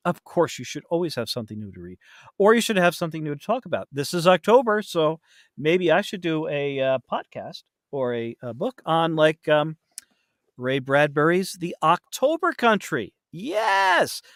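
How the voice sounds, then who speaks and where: Animated man, rambling podcast guest. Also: high voice, normal speed, dry recording, clean studio sound. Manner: rambling, self-deprecating, often trailing off mid-thought. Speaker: podcast guest, audiobook narrator. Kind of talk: casual podcast chat.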